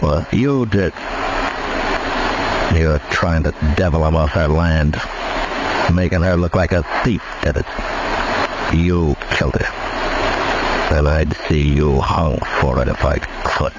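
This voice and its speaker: Deep-voiced, stern Old West marshal. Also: male voice. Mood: cold anger. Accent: American. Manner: terse, grave, measured. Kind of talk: 1950s radio western acting. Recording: noisy vintage radio drama recording.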